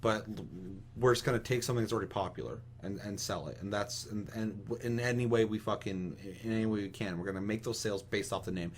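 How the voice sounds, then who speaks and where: A man's voice: fairly low pitch, fast, hardly any pauses. A man co-hosting a casual opinionated discussion, speaking vehemently and swearing heavily. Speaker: podcast co-host. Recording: audible steady hum and noise.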